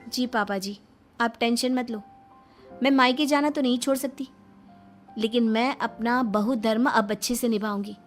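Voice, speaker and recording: A woman's voice: a little high, steady tone, moderate pace, faint music behind, close to an everyday voice. A woman playing a carefree, flippant, sweet-talking young daughter-in-law in a story. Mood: sweetly placating.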